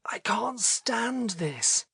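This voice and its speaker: A man voicing a distraught gentleman, a new father near collapse, agitated and halting, in a storybook reading. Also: extremely high pitch, expressive, vocal fry, slightly rough, no echo, studio quality, pitched above the performer's own voice, heavily acted. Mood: desperate.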